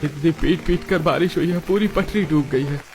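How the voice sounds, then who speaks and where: A man's voice: a little high, normal speed, a little mellow, close to an everyday voice. A man voicing a poor, honest, earnest street food vendor in a cartoon story. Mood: weary. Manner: earnest, pleading. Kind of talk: Hindi cartoon story dialogue. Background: background music.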